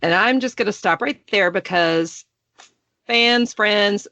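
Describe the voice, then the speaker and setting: A woman's voice: medium pitch, slow pace, vocal fry, bright, forceful tone, no room sound. Texan woman in her forties, podcast host, playful and self-deprecating, dry booth sound. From Texas. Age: 40s. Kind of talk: casual podcast chat.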